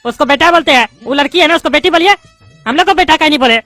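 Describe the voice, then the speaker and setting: A voice actor doing a squeaky, touchy bald politician. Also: pushed and bright, no echo, soft background music. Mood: arguing. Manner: indignant, rapid-fire arguing and lecturing. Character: bald loudmouth male politician student, touchy and argumentative. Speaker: adult student in a class.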